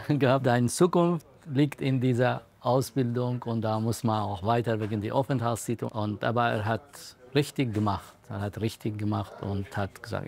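A man in his sixties, a native Kurdish speaker from Iraq, who talks in halting, earnest German while being interviewed as a refugee counselor. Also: mid-range voice, creaky, darkish tone. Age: 60s. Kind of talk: documentary interview.

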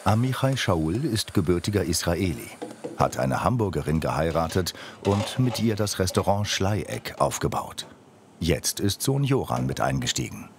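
A man narrates a documentary from a script in a very deep voice that moves freely up and down. His delivery is measured and factual. A clear layer of noise sits beneath the voice-over. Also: sing-song.

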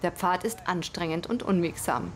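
A woman's voice: on the low side, expressive, moderate pace, a little hiss or hum. A woman reading scripted narration for a television news report. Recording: voice over music bed. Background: music.

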